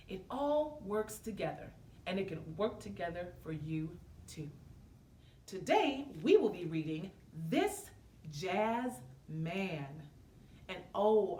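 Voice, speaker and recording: A woman reading aloud to children in an expressive, sing-song way, talking to them directly as she goes. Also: mid-range voice. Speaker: children's story-time reader. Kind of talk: interactive read-aloud to children, part sung.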